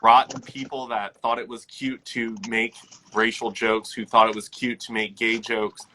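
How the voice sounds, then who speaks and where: A man's voice: medium pitch, level tone, normal speed, sharp tone, a little hiss or hum. A man talking reflectively but firmly in a casual conversation, leaning on "like" as a filler. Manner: reflective, lots of "like" fillers, firm.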